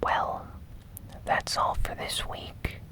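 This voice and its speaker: An ASMR gaming news host who speaks entirely in a whisper. The delivery is slow and soft, an unhurried news recap given close to the microphone.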